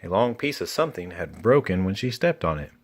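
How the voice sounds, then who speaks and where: A man reading a children's story aloud in an animated storytelling style. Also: deep voice, fairly quick. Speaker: children's book reader.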